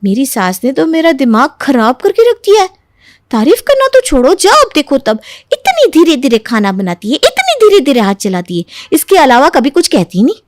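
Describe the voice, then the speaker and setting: A woman voicing a young, exasperated daughter-in-law who argues back, in an animated family moral story. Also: high-pitched, normal speed, dry recording, clean studio sound. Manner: pert, aggrieved, arguing.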